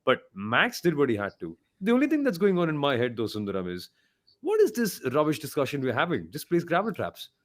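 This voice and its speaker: A man's voice: a little high, wildly swooping pitch, brisk. A man giving blunt, emphatic opinions as a panelist on a motorsport podcast, often repeating himself for emphasis.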